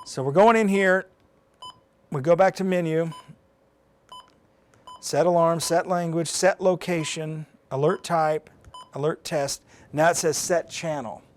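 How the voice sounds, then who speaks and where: A man's voice: fairly high pitch, steady tone, a little slow, pauses often, slight background noise. A man presenting an informal, unscripted step-by-step tutorial, explaining plainly in a conversational way as he demonstrates. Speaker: presenter of a live how-to demonstration.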